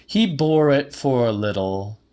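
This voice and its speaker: Male narrator, slow pace, mid-range pitch, deliberate, slightly dramatic literary reading. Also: lively intonation, darkish tone. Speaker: audiobook narrator.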